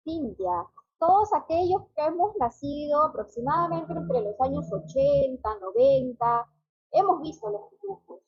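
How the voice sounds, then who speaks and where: A woman's voice: high voice, animated, a little slow, muffled recording. A woman in her thirties chatting casually as a co-host, often seeking agreement with tag questions. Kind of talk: casual chat.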